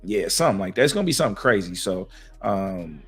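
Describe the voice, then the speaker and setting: Hoarse low-voiced man with a gravelly rasp. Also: fast, faint music behind. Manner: loose, slangy, animated banter with fillers. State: losing his voice. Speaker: podcast co-host. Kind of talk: casual sports talk.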